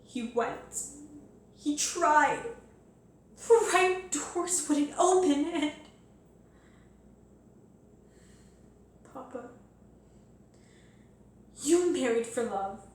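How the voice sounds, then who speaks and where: A woman playing a bitter, wounded young Southern daughter in a stage monologue, deliberate, pleading and accusatory, with heavy pauses. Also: high voice, animated, full character voice. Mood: pleading, grieving. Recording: echoey room, faint noise.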